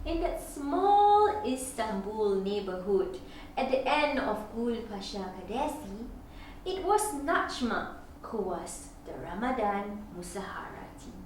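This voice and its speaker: A woman reading a picture book aloud to children as a storyteller, with deliberate, dramatic phrasing and expressive pauses. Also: on the high side. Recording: very echoey room, distant mic, faint noise.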